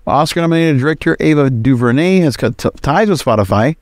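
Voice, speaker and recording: A man's voice: fairly high pitch, expressive, brisk, a little mellow, dry recording. Man hosting a solo podcast, dry sound. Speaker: podcast host. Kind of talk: solo podcast commentary, conversational.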